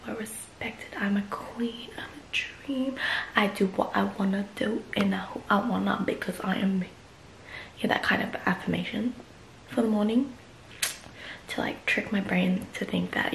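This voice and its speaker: Whispery, gravelly young woman vlogger. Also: mid-range voice, steady tone, normal speed, roomy sound, low-quality recording. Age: 20s. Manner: chatty, giggly, often whispering. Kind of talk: casual vlog chat. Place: small bedroom indoors, then outdoors on walks. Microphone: phone held at arm's length.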